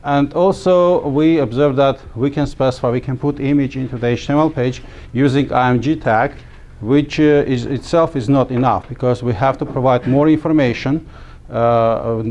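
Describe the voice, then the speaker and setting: A middle-aged man from Armenia teaching a class, talking haltingly with many fillers and restarts. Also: mid-range voice, darkish tone, muffled recording. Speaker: instructor. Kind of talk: classroom lecture. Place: small classroom.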